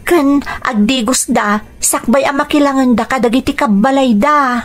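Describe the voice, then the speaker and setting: High-voiced earnest Filipino daughter played by a woman. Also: normal speed, slight background noise, close to an everyday voice. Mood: earnest, advising. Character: caring, cautious grown daughter advising her mother. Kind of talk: radio drama acting.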